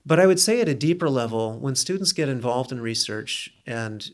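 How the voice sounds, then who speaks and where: A middle-aged man, a college dean, giving earnest, measured interview answers. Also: medium pitch, expressive.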